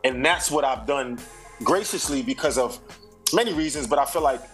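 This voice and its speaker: A man from Brooklyn with a New York accent, in his thirties, speaking at an ordinary middle pitch. He talks in a relaxed, reflective way, heavy with slang and little fillers. Faint music plays in the background. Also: moderate pace. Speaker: rapper and singer, guest. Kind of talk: casual interview chat.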